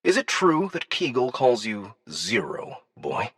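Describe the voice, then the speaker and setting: A man voicing a cunning alien dignitary in an audiobook, probing with languid, deliberate menace. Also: medium pitch, huge pitch swings, fairly bright tone, breathy edge, no echo, clean studio sound, pitched above the performer's own voice, heavily acted. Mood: coolly curious.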